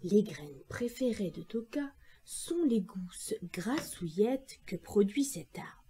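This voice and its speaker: A woman reads a children's audio story. Her high voice moves through wide melodic swings at an unhurried pace, in the expressive manner of a storyteller. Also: husky.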